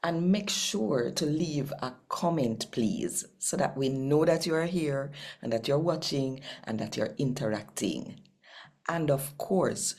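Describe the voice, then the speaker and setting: A woman's voice: rumbling low, creaky. A woman with a Jamaican accent hosting a channel and addressing viewers, slipping between standard English and Patois. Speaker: channel host. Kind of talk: unhurried video introduction, addressing viewers.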